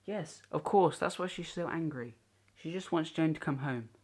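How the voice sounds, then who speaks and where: A young man playing a stubborn, stoic farm boy and gruff older brother in an audio play. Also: on the high side, moderate pace, darkish tone, natural voice. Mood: matter-of-fact.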